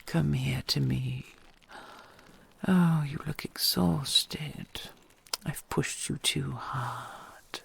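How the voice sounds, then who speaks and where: A woman playing a possessive, doting dragon queen in an audio roleplay, speaking in a breathy, creaky near-whisper with regal, formal tenderness. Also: deep voice, full character voice. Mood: tender concern. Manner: slow, regal, formal endearments with soft sighs.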